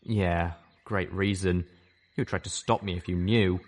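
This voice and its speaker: A man's voice: low voice, steady tone, measured pace. A man voicing a male pegasus pony friend in a storybook reading, blunt and grumbling. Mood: grousing.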